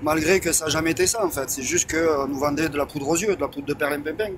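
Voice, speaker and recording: A man's voice: on the high side, fast, a loud crowd. A man of Iranian heritage in a casual political chat, blunt and emphatic, asking rhetorical questions. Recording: noisy background.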